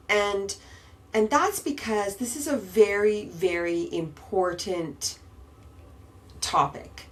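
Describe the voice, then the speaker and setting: Casting: woman podcast host, unhurried, patient teacher. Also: medium pitch. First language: English. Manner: slow, patient, emphatic explaining. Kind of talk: podcast grammar lesson. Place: Istanbul.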